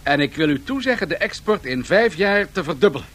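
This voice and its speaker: A shrewd, unyielding tycoon in a radio play. His voice sits fairly high and swings widely as he bargains with calculated, businesslike precision. The old radio recording carries some hiss. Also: animated, a little fast, a bit bright. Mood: firm, bargaining. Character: shrewd, unyielding Swedish match tycoon negotiating huge deals. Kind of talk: 1970s Dutch radio drama acting. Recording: noisy 1970s radio drama recording.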